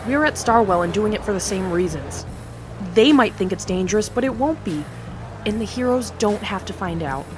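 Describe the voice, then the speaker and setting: A woman's voice: medium pitch, lively intonation, normal speed, music in the background, lightly acted. A woman playing a nervous young charity worker. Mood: eager. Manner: nervous, hesitant, eager. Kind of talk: audio drama acting.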